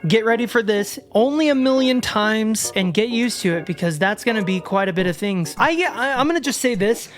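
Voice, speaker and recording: A woman's voice: on the high side, expressive, moderate pace. A woman losing her voice, giving casual, joking commentary on horror films and swearing freely. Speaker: horror video essayist.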